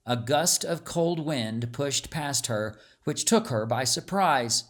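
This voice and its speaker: Slow-paced man narrating a storybook. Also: on the high side. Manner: measured, dramatic storytelling delivery. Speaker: audiobook narrator.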